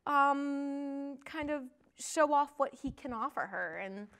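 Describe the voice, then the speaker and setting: A woman's voice: high-pitched, animated, slow pace, fairly bright tone. A woman on a podcast chatting casually about films in a playful, joking way with chatty interjections. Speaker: podcast co-host or guest. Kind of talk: casual film chat.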